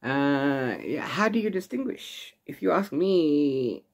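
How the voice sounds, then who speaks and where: A man's voice: a little high, slow, vocal fry. Rambling middle-aged man chatting about books. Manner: rambling, animated, exasperated asides. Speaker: book reviewer vlogger. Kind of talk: casual unscripted book chat to camera. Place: small room at home with bookshelves.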